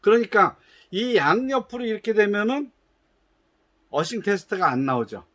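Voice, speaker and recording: A man's voice: sits high, big pitch swings, normal speed, fairly bright tone. A man from a shoe company patiently explains how to test his product, with frequent hesitations and restarts. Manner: gives instructions in polite formal speech.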